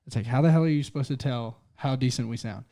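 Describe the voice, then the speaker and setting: A man's voice: mid-range voice, fast talker, warm tone, dry recording. A young man from Utah, a singer-songwriter guest, chatting loosely and swearing as he rambles through a story. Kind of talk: casual podcast chat.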